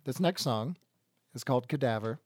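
A man's voice: medium pitch, lively intonation, normal speed, darkish tone, no echo. A man, a band singer, telling a rambling story with wry humor between songs in a radio studio session.

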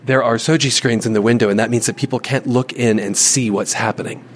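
A man reporting a news piece and interviewing a guest in a measured, inquisitive way. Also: medium pitch, steady tone, slight background noise. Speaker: radio reporter.

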